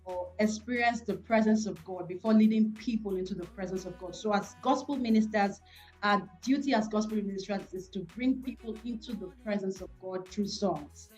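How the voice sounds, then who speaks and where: Gospel singer guest: Nigerian-accented woman, mid-pitched, earnest and devout, music underneath. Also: normal speed, a little mellow. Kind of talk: personal interview talk.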